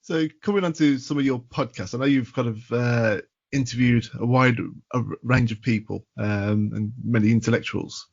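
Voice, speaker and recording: A man's voice: medium pitch, steady tone, normal speed, hardly any pauses, highs cut off. A man hosting a podcast, chatting casually with his guest and laughing along. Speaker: podcast host. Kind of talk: casual interview conversation.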